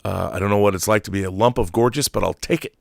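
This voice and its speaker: A man's voice: on the low side, quick pace, vocal fry, no echo, studio quality. A man in his fifties hosting a casual livestream, commenting and reading out messages in an opinionated, emphatic way, repeating himself for emphasis. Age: 50s. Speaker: livestream host.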